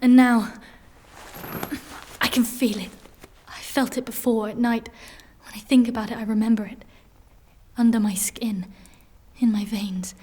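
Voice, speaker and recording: An actress playing a defiant, haunted fantasy warrior in an audio drama, terse, intense and hushed. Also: female voice, a little high, fairly even intonation, measured pace, breathy edge. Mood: haunted dread.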